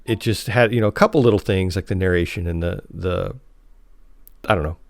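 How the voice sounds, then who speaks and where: A man co-hosting a podcast in casual, slightly halting conversation. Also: sits low, creaky, no room sound, clean studio sound. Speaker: podcast host.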